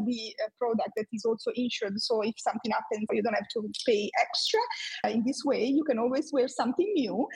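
A woman's voice: on the high side, darkish tone, husky, rough recording. A woman professor answering interview questions in a thoughtful way, with frequent fillers, who speaks natively. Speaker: guest, marketing professor.

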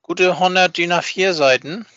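Bright-voiced man, halting casual podcast chat. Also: sits high, clean tone, no echo. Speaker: fan podcast co-host. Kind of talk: casual chat about a hobby production. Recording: slightly dull, dry close recording.

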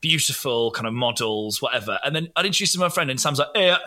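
A man, a comedian, chatting casually on a podcast and telling stories with quick impressions. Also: medium pitch. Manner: storytelling, animated impressions.

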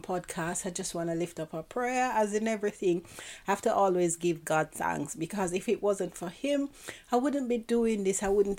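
A woman with a nasal, congested voice from hay fever hosts a devotional podcast. Her pitch sits a little low. She talks in a chatty, repetitive way, restarts her sentences, and goes quiet and earnest when she prays. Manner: chatty, repeats herself, self-correcting. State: stuffy nose from hay fever. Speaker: podcast host. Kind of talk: devotional podcast talk with prayer.

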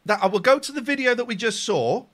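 A man's voice: extremely high pitch, lively intonation, fast, fairly bright tone. A middle-aged man with an English accent, chatting casually as host of a live phone-in stream. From England. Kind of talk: casual live chat with callers.

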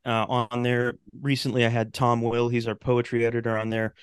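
A man speaking as a podcast guest in casual interview chat, explaining things in a rambling, halting way. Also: medium pitch, completely flat delivery, clear, resonant tone, no echo, studio quality. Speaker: podcast guest, talk show host and radio drama maker.

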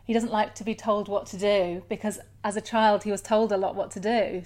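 A woman hosting a podcast interview, affirming her guest and relating his ideas to her own life in a conversational way. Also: medium pitch. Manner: warm, affirming, shares personal examples. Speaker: podcast host.

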